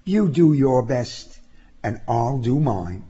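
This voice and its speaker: A man voicing a sharp-tongued, unflappable young woman activist in a told story, sarcastic, persuasive and teasing. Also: medium pitch, expressive, slow pace, breathy edge, lo-fi sound, voice pushed up. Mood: calm, pointed.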